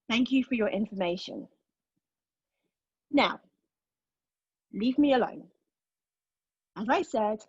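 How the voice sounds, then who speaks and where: Woman acting defiant agitated woman, stage play reading, slow halting delivery, emotional, outspoken, muffled lo-fi sound. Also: a little high, darkish tone, breathy edge, no echo. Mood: coldly resolute. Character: defiant, agitated woman arguing with a machine, giving personal testimony. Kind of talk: table read of a play, dramatic monologue.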